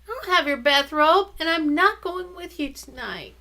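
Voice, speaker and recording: A woman voicing a sick young girl who answers softly and weakly. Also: high voice, lively intonation, moderate pace, vocal fry, pitched above the performer's own voice, heavily acted. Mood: sad.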